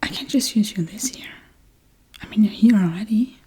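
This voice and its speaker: A woman playing a forgetful, darkly playful witch in a soft-spoken, whispery ASMR role-play, murmuring gently and absent-mindedly. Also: mid-range voice, normal speed, slight background noise, close to an everyday voice. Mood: apologetic, musing. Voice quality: breathy, whispery.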